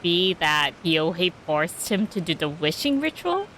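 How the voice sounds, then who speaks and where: A woman streamer chats casually over her game at a slow pace. Her voice sits a little low, with faint game ambience and noise behind it. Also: very animated, fairly bright tone, rough recording. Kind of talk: casual reaction commentary over gameplay.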